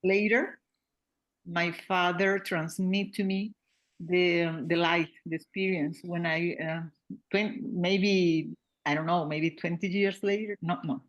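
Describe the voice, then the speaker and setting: Woman in her sixties, halting English. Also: lowish voice, fairly even intonation, dull audio. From Venezuela. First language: Spanish. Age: 60s. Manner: halting English, searching for words. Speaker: meditation group participant. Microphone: video call.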